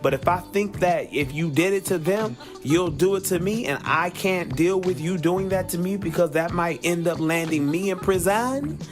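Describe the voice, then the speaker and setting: A man's voice: sits high, moderate pace, hardly any pauses. A man hosting a podcast, giving conversational advice in an emphatic way and repeating phrases for effect. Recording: music playing under the voice. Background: music.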